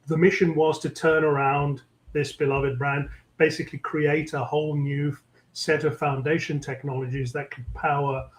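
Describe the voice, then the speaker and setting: A middle-aged man with a London English accent speaks in a slightly raised pitch, pausing often with ums and uhs. He is being interviewed over a video call from an echoey room. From England. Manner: measured, many ums and uhs. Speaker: guest, tech executive. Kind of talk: interview conversation.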